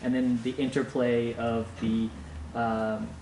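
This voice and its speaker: A man with a middle-pitched voice rambles through his thoughts, restarting often as he looks for the right words. The room is echoey, with a faint hum of ambience. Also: little pitch movement, slow pace, dark tone. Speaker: audience member giving feedback. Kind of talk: casual discussion.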